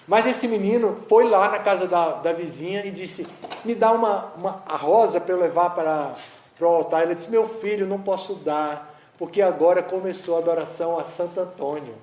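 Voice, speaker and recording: A man's voice: high-pitched, a little fast, a little mellow. A man giving a conversational devotional talk, telling a story with frequent restarts. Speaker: spiritual teacher giving a talk. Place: eco-village gathering, roomy space. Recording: roomy echo.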